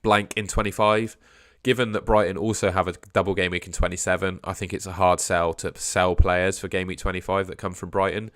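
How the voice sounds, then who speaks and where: A young man with a sore throat hosting a casual fantasy football live stream, hedging his picks and trailing off quietly at the ends of phrases. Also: low voice, flat delivery, fairly quick, few pauses, no room sound, clean studio sound. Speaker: fantasy football livestream host. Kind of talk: casual live stream chat answering viewers.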